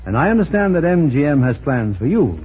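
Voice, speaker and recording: Animated, higher-pitched 1940s radio host. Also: male voice, fairly quick, breathy edge. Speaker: radio show host and narrator. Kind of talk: formal 1940s radio presenting, scripted narration. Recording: muffled 1940s radio broadcast recording.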